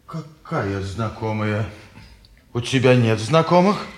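An actor playing a suspicious, defiant, tipsy husband with a terse, needling manner in a 1950s Russian stage drama. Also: male voice, lowish voice, animated, takes it slowly.

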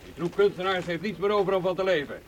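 An actor playing an arrogant, brutal medieval knight in a radio play, giving contemptuous orders. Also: male voice, sits high, quick pace, natural voice. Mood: scornful. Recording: loud hiss on an old tape.

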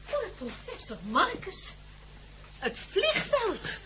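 A woman's voice: very high voice, wildly swooping pitch, takes it slowly, noisy background, full character voice. A woman acting in a radio drama as an anxious, earnest companion of a band of adventurers. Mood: worried, reporting.

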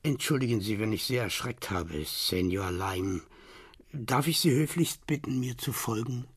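An actor playing a fat prison guard in a radio crime drama, husky and hesitantly over-polite. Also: male voice, medium pitch, lively intonation, unhurried, no echo, studio quality. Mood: anxious courtesy. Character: fat male prison guard, oddly servile and courteous.

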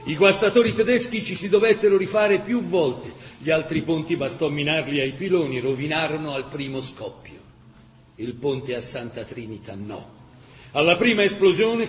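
A man's voice: a little high, slight background noise. A man narrating a scripted radio drama in an impassioned, emphatic, literary way. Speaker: actor narrator.

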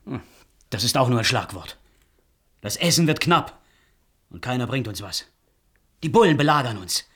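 An actor playing a sceptical, impatient young Dakota grandson with a husky voice in a German radio play. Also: male voice, mid-range voice, animated, normal speed, fairly bright tone. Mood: defiant, sceptical.

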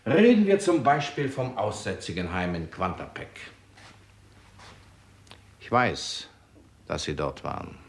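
A man's voice: low voice, huge pitch swings, measured pace, gravelly, pauses often, a little hiss or hum, heavily acted. A man acting as a jovial, needling tavern character with a theatrical, teasing delivery in a 1960s German radio crime play. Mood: sly, probing.

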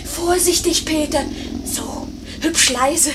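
A woman playing a tender, anxious young pilot's wife in a 1950s radio play, girlish and adoring, soft and breathy. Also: high voice, slow pace. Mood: excited, adoring. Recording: noisy old radio recording.

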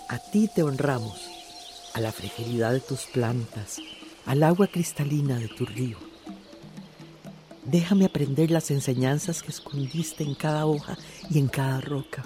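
An actress playing a reverent, solemn nature devotee in prayer, in a radio drama. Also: female voice, low-pitched, warm tone. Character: reverent, solemn devotee praying to Mother Earth. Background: music and outdoor nature sounds.

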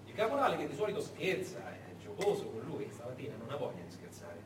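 A man narrating a story on stage in a dramatic, theatrical way, with deliberate pauses. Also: mid-range voice, huge pitch swings. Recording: echoey room, far mic, faint noise.

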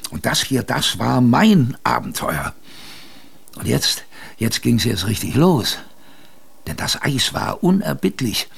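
A man playing an older adventurer who recalls his youth in a creaky voice, with wistful, conversational radio drama narration. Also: mid-range voice. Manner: conversational, wistful, reflective storytelling.

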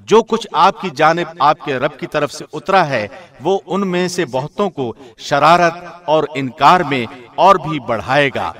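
Higher-pitched man reading scripture formally. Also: animated, a bit bright. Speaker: translation reader, radio host. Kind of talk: scripted scripture translation narration.

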